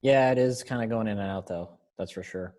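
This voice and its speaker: A man directing a readers theater rehearsal over a video call, talking casually in halting, hesitant phrases. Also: fairly low pitch, darkish tone.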